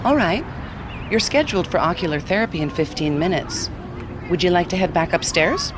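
An actor voicing a helpful AI seeing-eye app assistant in an audio drama, with a polite, measured, instructive delivery. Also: medium pitch, lively intonation, noisy background, close to an everyday voice. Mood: polite, informative. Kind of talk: audio fiction acting, synthetic assistant delivery.

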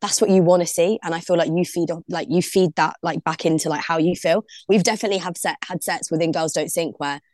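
A woman's voice: fairly low pitch, fairly even intonation, quick pace, few pauses, a little mellow, no echo, clean studio sound. A young woman, a DJ guest on a podcast, chatting casually and filling her talk with "like". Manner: chatty, heavy use of "like", laughs easily. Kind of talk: casual interview chat.

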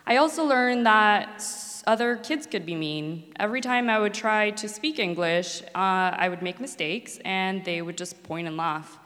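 A woman's voice: mid-range voice, a little slow, few pauses, fairly bright tone. A woman from Mexico, a native Spanish speaker, earnestly telling a personal story as a former student. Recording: long hall reverb.

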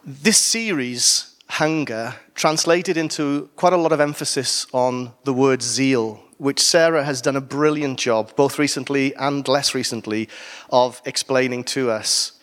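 A man's voice: medium pitch, measured pace, fairly bright tone. A man from Wales with a Welsh accent, preaching a sermon in a conversational way with humorous asides.

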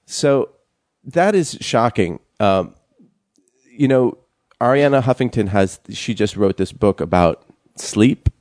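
A young man co-hosting a casual chat show, talking haltingly with many fillers and restarts. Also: fairly low pitch, animated, a little mellow, dry recording, studio quality. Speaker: podcast co-host.

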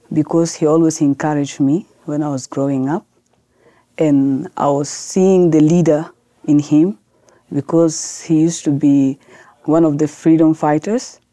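Podcast guest, woman from South Sudan, low dark voice, dry close sound, earnest and halting. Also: steady tone, dry recording. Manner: earnest, halting non-native English with restarts. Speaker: guest, lawyer. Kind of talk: podcast interview answers.

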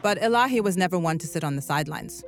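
A woman narrates a documentary podcast with a steady, earnest, scripted delivery. Her voice sits somewhat low, and soft music runs faintly beneath it. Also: quick pace.

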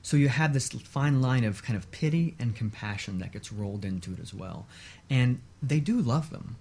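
A man speaking as an expert guest in a radio interview, explaining in a measured way with short repeated lists. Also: mid-range voice, expressive, vocal fry, a little mellow.

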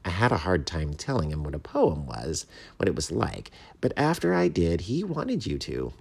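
A man's voice: deep voice, big pitch swings, normal speed, vocal fry, breathy edge, heavily acted. A man voicing an eager, curious, chatty little chipmunk in a children's storybook. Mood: excited, helpful. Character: eager chatty pleased chipmunk, small forest animal. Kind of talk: children's storybook read aloud.

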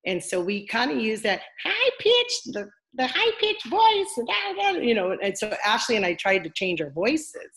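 An older woman with a deep voice, a former wrestler chatting casually as an interview guest, rambling with lots of fillers. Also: sing-song, moderate pace, hardly any pauses, a bit bright.

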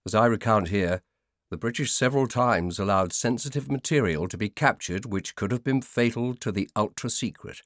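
A man reads scripted nonfiction in a fairly low voice. His delivery is measured and formal, as befits an audiobook narrator. The sound is dry, with very little room to it. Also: dry recording.

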